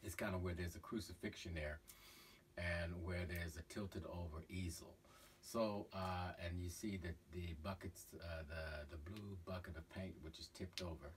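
A middle-aged man, a painter, talking casually about his own painting in a halting way with many fillers, filmed in a cluttered studio. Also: low voice, fairly even intonation. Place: cluttered art studio indoors. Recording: voice from far off, faint room noise.

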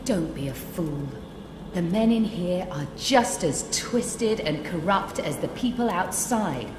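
An actress playing a proud young adventuress in a fantasy game, sardonic, bitter and secretive. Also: female voice, lowish voice, animated, takes it slowly, background noise. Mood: scornful. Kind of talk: fantasy game cutscene acting. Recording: echoey hall with music underneath.

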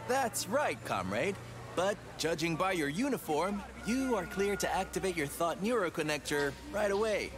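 A man voicing an eager, chummy robot salesman in a video game, with a persuasive pitchman's manner. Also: very animated, normal speed, music in the background. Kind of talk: scripted video game acting.

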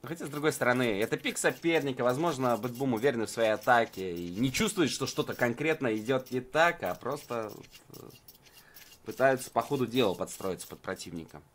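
A man's voice: medium pitch, a little fast, fairly bright tone. A man giving live, conversational esports commentary in colloquial gamer slang. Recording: game sound behind the voice.

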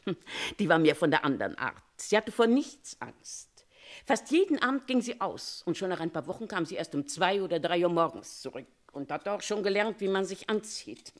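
A Paris concierge in a radio crime drama, a woman who loves to gossip. Her voice sits a little low but swings widely up and down as she confides her firm opinions. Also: big pitch swings, moderate pace. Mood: chatty, confiding. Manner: chatty, confiding, opinionated storytelling. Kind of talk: German radio crime play acting.

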